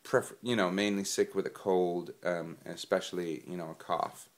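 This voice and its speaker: A low-voiced man speaks to his viewers while still stuffed up from a cold. He rambles a bit, with lots of ums and restarts, in a casual, chatty way. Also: fairly even intonation, normal speed. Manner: rambling with many fillers. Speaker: singing vlogger. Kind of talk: casual spoken tips to camera.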